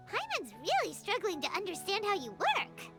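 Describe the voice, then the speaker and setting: A woman voicing a tiny, childlike, excitable fairy companion in a video game, squeaky, earnest and naive in manner. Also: takes it slowly, full character voice. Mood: puzzled, pouting. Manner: exclamatory, naive, speaks of herself in third person. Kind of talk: English video game voice acting. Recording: studio voice under prominent music.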